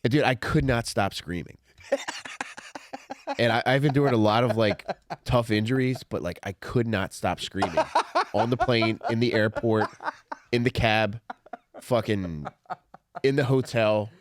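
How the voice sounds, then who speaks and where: A middle-aged man with a low voice talks in a blunt, conversational way, as if telling a comic memoir. The sound is close and dry, with very little room on it. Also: unhurried, pauses often, no room sound. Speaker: author reading own memoir and podcast host. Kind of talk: audiobook reading with casual comic asides.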